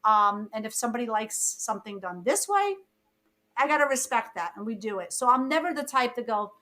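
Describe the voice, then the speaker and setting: Bright-voiced New woman in her fifties. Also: a little high, fairly quick. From born in Egypt, raised in Brooklyn. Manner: lively storyteller who acts out quoted lines. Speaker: podcast guest, photographer. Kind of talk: casual interview chat.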